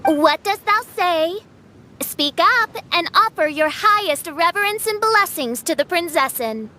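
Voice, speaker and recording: A woman's voice: very high voice, animated, a little slow, sharp tone, a little hiss or hum, exaggerated voice. A woman voicing a haughty teenage girl who speaks like theatrical royalty, in archaic, imperious phrases, for an anime fantasy game. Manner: grandiose, archaic, imperious speech.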